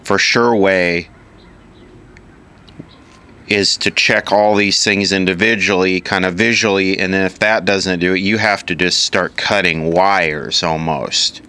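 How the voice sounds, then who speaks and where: A man's voice: sits low, fairly even intonation, a little slow, bright, forceful tone, clean tone. A man giving an informal hands-on repair tutorial, matter-of-fact and thinking aloud piece by piece as an instructor.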